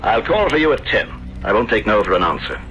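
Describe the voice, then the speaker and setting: An actor playing a middle-aged, courtly, scheming gentleman in a theatrical 1970s radio drama, hesitating for effect. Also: on the low side, sing-song, fast talker. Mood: coaxing. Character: smooth, scheming middle-aged Italian gentleman hiding a secret. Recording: dull vintage radio broadcast, music behind.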